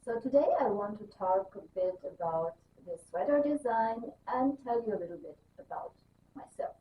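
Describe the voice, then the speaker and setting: A woman's voice: medium pitch, lively intonation, moderate pace. A woman from Germany, chatting casually and enthusiastically as host of her own knitting vlog, with frequent ums and restarts. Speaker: knitting designer and podcast host. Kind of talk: casual chat. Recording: roomy, distant, dull sound with faint hiss.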